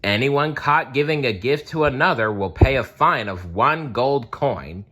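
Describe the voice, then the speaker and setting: A man's voice: mid-range voice, animated, measured pace, bright, forceful tone, pitched above the performer's own voice. A man voicing a stingy, domineering mayor with a pompous, commanding manner in a storybook. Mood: stern decree. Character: stingy, domineering ship captain turned mayor.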